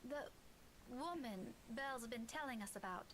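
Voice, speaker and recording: A woman's voice: on the high side, animated, measured pace, fairly bright tone, slight background noise. Belle, a woman voicing an earnest young heroine. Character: anxious earnest young woman, apologetic but determined. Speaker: game character. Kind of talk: visual novel voice acting.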